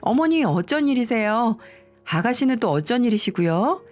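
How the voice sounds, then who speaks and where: A woman's voice: on the high side, sing-song, moderate pace, a little mellow, voice pushed up. A woman plays a young daughter-in-law in an audio story. She is polite but flustered and incredulous, questioning in a startled way over background music.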